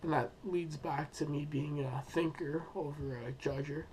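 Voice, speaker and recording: A young man who is sick and losing his voice, giving an unscripted school presentation with many pauses and fillers. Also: on the high side, fairly even intonation, darkish tone, slight background noise, low-quality recording. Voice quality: hoarse. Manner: hesitant, many ums and uhs, thinking aloud. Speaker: student presenting a school project.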